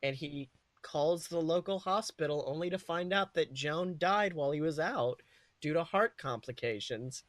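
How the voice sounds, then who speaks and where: A man's voice: a little high, normal speed, fairly bright tone, no echo. A man co-hosting a podcast, improvising a comedic story in an informal, sweary way with dramatic build-ups. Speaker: podcast co-host.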